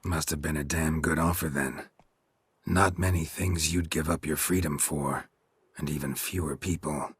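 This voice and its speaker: Very deep, gruff monster hunter. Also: male voice, normal speed, no echo, exaggerated voice. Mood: dry, guarded. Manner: laconic, deadpan. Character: gruff world-weary male monster hunter, dry-witted. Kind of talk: video game dialogue acting.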